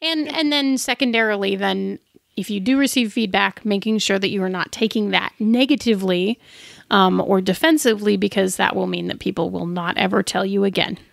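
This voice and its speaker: A woman's voice: mid-range voice, fairly quick, creaky, no room sound, studio quality. A woman co-hosting a podcast, explaining things in a casual, conversational way. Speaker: podcast co-host. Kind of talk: casual chat about tabletop game advice.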